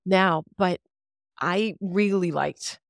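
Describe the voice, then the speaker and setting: A woman's voice: fairly low pitch, takes it slowly, gravelly, no room sound, clean studio sound. A woman in her fifties from Central Texas, a longtime radio voice, telling her life story in a reflective, chatty way as a podcast guest. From Central Texas, USA. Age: 50s. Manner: reflective, chatty, with easy laughs. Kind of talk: casual interview storytelling.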